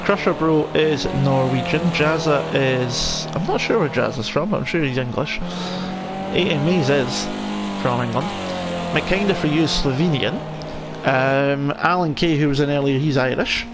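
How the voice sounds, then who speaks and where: A man's voice: a little high, moderate pace, over loud music. A man with a Scottish accent commentating live on an online car race, chatting conversationally with viewers in friendly asides. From Scotland. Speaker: esports racing commentator. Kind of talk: live sim racing commentary mixed with casual chat to viewers. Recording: loud race car engine noise. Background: racing game car engines.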